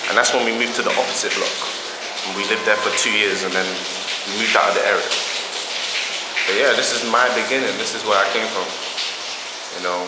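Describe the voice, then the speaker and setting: Man, London accent, casual vlog on a handheld camera, low voice, echoey walkway, loud rain behind. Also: a little fast, pauses often, very noisy. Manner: reflective, chatty, keeps checking in with "yeah?". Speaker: vlogger. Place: outdoors on a housing estate walkway in rain.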